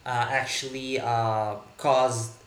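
A man from Israel, a native Hebrew speaker, answering interview questions in a halting way with many fillers and repeated words. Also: mid-range voice, flat delivery, echoey room, slight background noise. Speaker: podcast guest, author. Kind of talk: casual interview talk. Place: Berlin.

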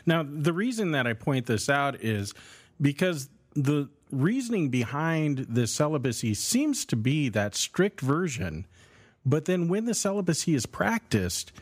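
A man's voice: fairly high pitch, sing-song, a little slow, husky. A man co-hosting a theology podcast as a pastor, explaining ideas in a casual, conversational and slightly wry way. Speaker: podcast co-host, Lutheran pastor.

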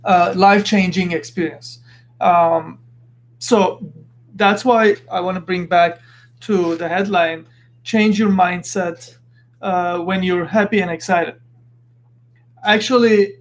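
A man from Lebanon hosting a podcast, talking earnestly in a casual motivational monologue and often restarting as he searches for words. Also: high voice. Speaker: podcast host.

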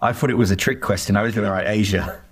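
A man's voice: fairly low pitch, steady tone, fast talker, slightly rough. A man playing a podcast trivia game, cracking jokes and swearing casually. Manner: wisecracking, swears. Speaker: trivia contestant. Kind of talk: casual banter.

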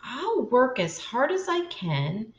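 A woman's voice: a little high, big pitch swings, takes it slowly, voice pushed up. An older woman voicing an earnest, determined young orphan girl in a storybook reading. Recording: roomy echo.

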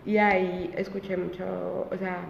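A woman's voice: fairly low pitch, level tone, unhurried, creaky, slight background noise. A woman in her twenties, an interview guest telling her own story casually, with many fillers and restarts. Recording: roomy, reverberant, dull sound.

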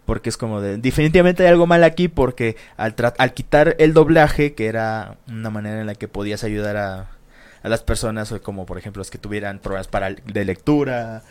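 A man's voice: fairly low pitch, fairly quick, clear, resonant tone. Slangy man in casual chat. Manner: slangy and swearing, with many fillers.

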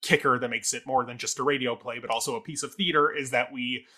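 A man's voice: mid-range voice, fairly even intonation, quick pace, sharp tone, slightly rough. A man co-hosting a casual arts talk show, reviewing in a wordy, discursive and playful way.

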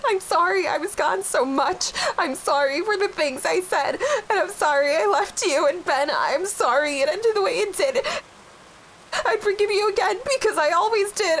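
An actress playing a young widowed mother, halting and raw with grief, in a dramatic dialogue. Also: medium pitch, a little hiss or hum, natural voice, rough recording. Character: grieving young widowed mother, guilt-ridden.

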